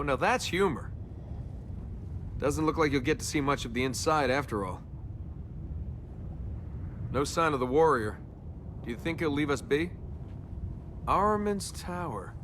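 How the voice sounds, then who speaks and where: Cocky young game hero, slightly high voice, swooping delivery, sarcastic, noisy ambience behind. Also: male voice, sing-song, unhurried, long pauses, fairly bright tone. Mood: sarcastic, teasing. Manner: glib, sarcastic, bantering. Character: cocky wisecracking young male adventurer. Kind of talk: video game voice acting.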